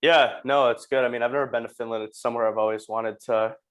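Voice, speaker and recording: A young man hosting a casual podcast interview, friendly and quick, often restarting his phrases with "uh.". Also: medium pitch, fairly even intonation, a bit bright. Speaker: podcast host.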